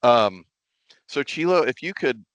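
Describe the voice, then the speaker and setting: Man, podcast host, casual interviewing, smooth clear tone, dry close recording. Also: mid-range voice, animated, measured pace, fairly bright tone, dry recording, highs cut off.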